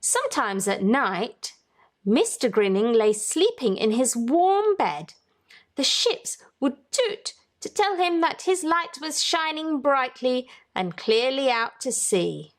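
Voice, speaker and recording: Slow-paced woman reading a children's storybook. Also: high voice, big pitch swings. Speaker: children's story-time host and reader. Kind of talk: read-aloud storybook narration with questions to young listeners.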